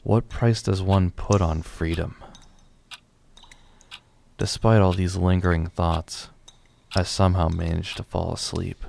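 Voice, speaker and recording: A man playing a weary, determined father who leads a rebellion, narrating his story gravely and earnestly in an audio drama. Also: deep voice, level tone, measured pace, pauses often, darkish tone, a little hiss or hum, exaggerated voice. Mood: reflective, grave. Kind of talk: scripted first-person narration and audio drama dialogue.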